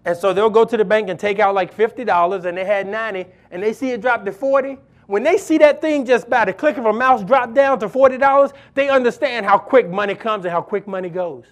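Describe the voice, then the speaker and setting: A pastor with a strikingly high voice for a man preaches to his congregation. He drives points home by repeating phrases and urging listeners directly. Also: a little fast, hardly any pauses. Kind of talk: sermon.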